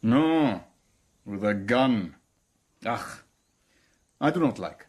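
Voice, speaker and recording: Slow, husky guide. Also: male voice, mid-range voice, expressive, a little mellow, heavily acted. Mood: grave, reflective. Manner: terse, halting, simple sentences. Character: quiet, grave male local guide and hunter's nephew. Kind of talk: broadcast radio drama acting.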